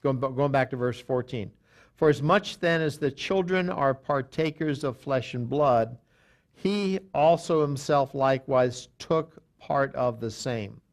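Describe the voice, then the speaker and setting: A man's voice: medium pitch, measured pace. A man teaching a Bible study as a pastor, talking with frequent restarts and fillers and reading scripture aloud.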